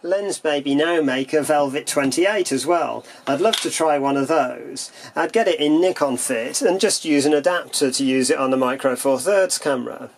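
A man calmly and methodically explaining how camera lenses work, in a demonstration voice-over. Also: fairly high pitch, moderate pace, creaky, hardly any pauses, a little hiss or hum. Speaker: camera lens reviewer.

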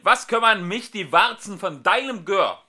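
A man voicing a selfish, scornful young wizard in a storybook, shouting harshly. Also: sits high, lively intonation, measured pace, pitched above the performer's own voice, heavily acted. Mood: furious. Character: selfish bad-tempered young male wizard, scornful of villagers.

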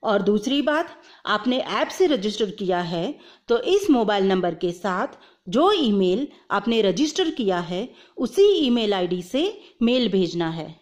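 A woman's voice: medium pitch, big pitch swings, unhurried, darkish tone. A woman giving an explanatory tutorial voice-over step by step, mixing English terms into her speech. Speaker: video presenter explaining instructions.

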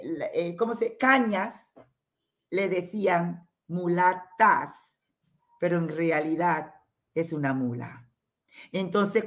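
A middle-aged woman from San Andrés, Colombia, speaking with an island accent, talking passionately as a guest on a video call from a room at home. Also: fairly low pitch. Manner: impassioned, emphatic, dropping code-switched English asides. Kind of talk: interview over video call.

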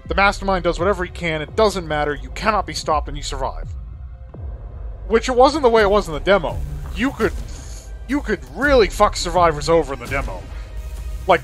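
A man's voice: fairly high pitch, a bit bright. Man rambling over game music. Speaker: let's play video creator. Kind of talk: casual gameplay commentary with profanity. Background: game soundtrack music behind the voice.

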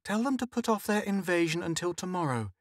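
A man voicing a nervous, anxious middle-aged housewife, shrill and pleading. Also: big pitch swings, a little fast, no room sound, studio quality. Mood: uneasy.

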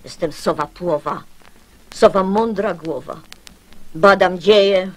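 A woman's voice: fairly low pitch, slow, poor audio. A woman voicing a dismissive, bookish owl, hooting and self-satisfied, in a children's radio play. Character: dismissive, bookish female owl, proud of her wisdom.

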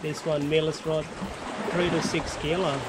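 A man giving casual running commentary while fishing on a rocky breakwall, recorded on a head-mounted action camera, breaking into excited whoops when a fish bites. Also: fairly high pitch, normal speed. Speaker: fishing vlogger. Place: outdoors on a rocky breakwall by the sea. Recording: outdoor wind and water noise.

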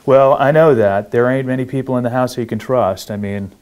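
A man's voice: mid-range voice, brisk, a little mellow, smooth, lightly acted. A middle-aged man playing a blunt, profane state politician chatting casually in a dramatized phone call. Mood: measured, frank. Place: small kitchen, indoors.

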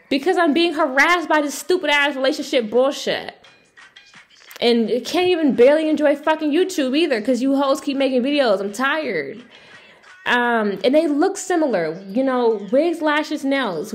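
Higher-pitched woman, blunt casual commentary. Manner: blunt, exasperated, sweary. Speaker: video commentator.

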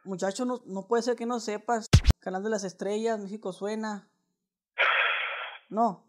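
A man's voice: high-pitched, steady tone, unhurried, a little mellow, soft background music. Wheedling Mexican man on a prank call. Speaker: prank caller. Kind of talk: casual prank phone call. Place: indoors, small living room.

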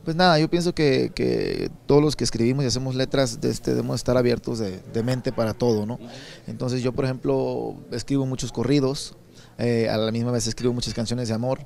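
Man in his thirties, Sinaloan accent, gravelly, mid-pitched, relaxed rambling talk, handheld mic. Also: a little fast. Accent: Sinaloan Mexican Spanish. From Mexico, Sinaloa. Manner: relaxed, rambling, many repeated words and fillers. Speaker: songwriter and singer. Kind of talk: casual interview answers. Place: busy expo booth indoors. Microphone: handheld interviewer mic.

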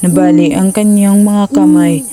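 A woman's voice: medium pitch, unhurried, crowd noise. A woman narrating a scripted children's fable as a storyteller. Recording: loud music behind the voice. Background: music.

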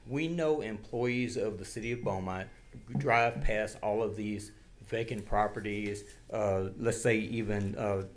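A middle-aged man giving an insistent, partly improvised public comment at a podium microphone in a council chamber. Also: lowish voice. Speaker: public commenter, local resident. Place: large indoor council chamber. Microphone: podium gooseneck microphone. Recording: faint-to-clear room noise behind podium speech.

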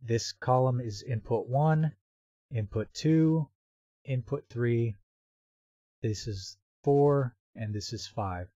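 A man walks through software setup step by step in an informal, explanatory manner. His voice is mellow and sits at a middling pitch. The recording is dry and somewhat dull, as from a close voice-over microphone. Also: takes it slowly, no echo. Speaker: software tutorial presenter. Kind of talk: step-by-step screen tutorial narration.

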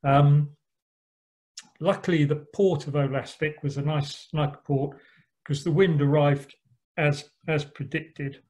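A middle-aged man giving a relaxed slide talk about a sailing voyage, with frequent ums and dry asides. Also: on the high side, takes it slowly. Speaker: yacht club speaker. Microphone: online call microphone.

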